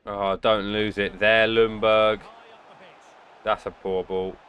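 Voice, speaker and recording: A young man with a cold, sounding nasal, casually commentating his football video game and bursting out loudly at big moments. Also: fairly low pitch, slow pace. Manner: excitable outbursts during play, muttering between. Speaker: gaming channel host. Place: indoor room at home.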